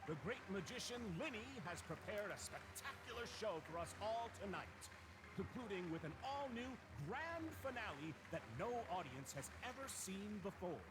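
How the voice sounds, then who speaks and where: An actor playing a grand, enthusiastic male theater announcer in a video game, over loud ambience. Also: sits high, big pitch swings, normal speed, very noisy.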